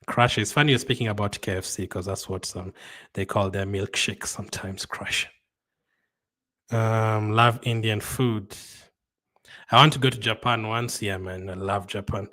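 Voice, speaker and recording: A man with a low, crisp-edged bright voice hosts a livestream. He chats casually with viewers in a laid-back manner, often pausing and restarting as he answers them. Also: steady tone. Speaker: livestream host. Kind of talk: casual chat, reading and answering viewer comments.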